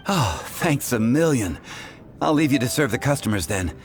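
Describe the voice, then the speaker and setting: A man's voice: medium pitch, big pitch swings, normal speed. A man playing a busy, affable tavern keeper in a fantasy video game, with a courteous, chatty way of talking. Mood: grateful, busy. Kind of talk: scripted video game acting. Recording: game music behind the voice. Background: music.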